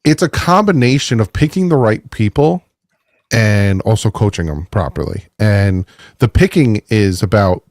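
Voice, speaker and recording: A man's voice: fairly low pitch, animated, moderate pace, darkish tone, no room sound, clean studio sound. A man in casual sports chat, giving conversational analysis of team moves.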